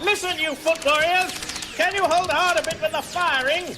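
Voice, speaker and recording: Frantic gun-fighter, extremely high shouted voice, urgent, long reverb, radio comedy. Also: male voice, steady tone, moderate pace, noisy background. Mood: urgent, shouting.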